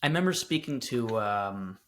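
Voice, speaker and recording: A man's voice: lowish voice, lively intonation, moderate pace, fairly bright tone. A young man co-hosting a podcast, speaking reflectively and hesitantly in casual chat. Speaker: podcast co-host.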